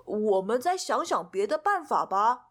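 A woman voicing a calm, practical storybook friend who comforts in a matter-of-fact way. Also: a little high, unhurried, background noise.

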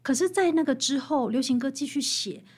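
A woman's voice: sits high, animated, brisk, darkish tone. A woman with a Taiwanese Mandarin accent explaining things conversationally as an interview guest, animatedly walking through examples. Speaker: interview guest, author and music researcher.

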